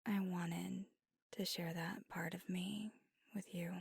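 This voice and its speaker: A woman voicing a gravelly-whispered young vampire. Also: on the low side, fairly even intonation, slow, no room sound, studio quality, full character voice. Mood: wistful. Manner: soft whispering, intimate. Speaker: ASMR roleplay performer. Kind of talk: ASMR roleplay. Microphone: close mic.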